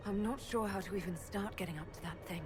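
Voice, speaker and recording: An actress playing an earnest, uncertain young heroine in a fantasy game. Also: female voice, mid-range voice, fast, music in the background, natural voice. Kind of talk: dramatic fantasy game acting. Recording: faint ambient noise.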